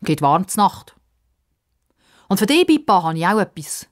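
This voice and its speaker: A woman's voice: on the low side, big pitch swings, moderate pace, voice pushed up. A woman voicing a jovial, folksy old man in a children's story, given to eager exclamations. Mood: friendly. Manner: exclaiming, muttering to himself.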